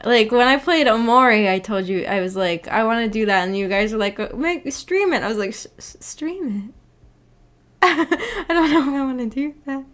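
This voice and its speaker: A woman's voice: fairly high pitch, expressive, normal speed, a bit bright. A woman hosting a casual livestream discussion, chatting in a rambling, giggly way. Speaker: streamer hosting a book club discussion.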